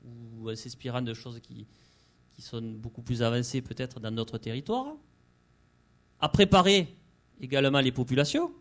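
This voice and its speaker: A man giving an unscripted public presentation as an activist, halting and repeating words as he goes. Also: medium pitch, animated.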